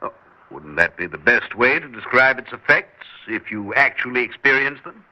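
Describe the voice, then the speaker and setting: A man plays a smooth, persuasive research doctor who coaxes people along with little hesitations. His voice is lively and expressive. The old radio recording sounds dull and lo-fi. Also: medium pitch, moderate pace, close to an everyday voice. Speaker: scientist. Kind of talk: 1960s radio drama acting.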